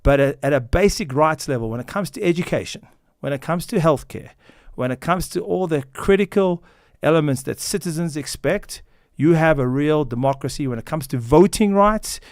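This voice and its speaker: An American man answering interview questions as a company founder, earnest and measured, often pausing with fillers and restarting. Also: a little high, lively intonation, no echo, clean studio sound. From United States.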